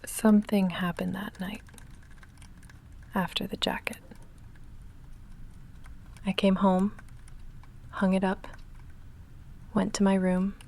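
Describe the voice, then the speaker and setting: An awkward young goth vampire girl, played by a woman in an intimate ASMR roleplay. She murmurs slowly with heavy vocal fry, often dropping to a breathy whisper. Her delivery is deadpan and halting, self-conscious but secretly affectionate. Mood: reluctant. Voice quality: soft, breathy whispering mixed with low murmured talk.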